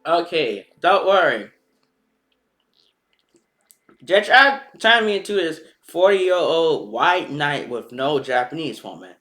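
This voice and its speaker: A man's voice: on the high side, sing-song, measured pace, background noise. A man talking in a meandering, hesitant casual monologue for a vlog, with frequent ums and restarts. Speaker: vlogger. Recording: loud keyboard piano music over the voice. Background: loud piano and keyboard music.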